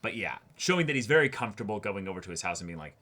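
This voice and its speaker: A man's voice: fairly low pitch, sing-song, racing, bright, forceful tone. A man co-hosting a reaction show in a casual, emphatic way, repeating words to stress his points. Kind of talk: casual chat about an anime episode.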